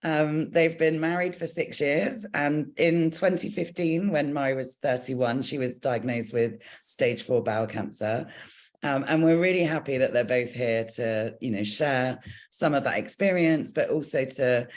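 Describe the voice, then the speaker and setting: Woman host on a video call, hesitant and halting. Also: a bass voice. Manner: hesitant, many fillers and restarts. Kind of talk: hosting a video-call session.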